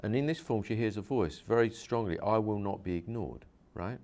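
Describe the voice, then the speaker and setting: A man's voice: on the low side, moderate pace, warm tone. A man, a hypnosis practitioner, interviewing a guest and explaining his method earnestly, with many repeats and restarts.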